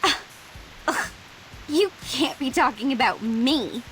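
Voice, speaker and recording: Earnest young game heroine, high and very expressive, easily flustered, light music under her. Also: slow pace, exaggerated voice, low-quality recording. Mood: flustered, annoyed. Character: earnest, easily flustered young female horror-movie fan. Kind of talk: English video game character acting.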